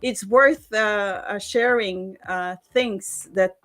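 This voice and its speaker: A woman in her thirties from the Philippines, talking on a video call through a headset, friendly, laughing often and pausing with "uh". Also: medium pitch, fairly bright tone, soft background music. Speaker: guest speaker, software engineer. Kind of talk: casual video-call interview. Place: home room with plants behind.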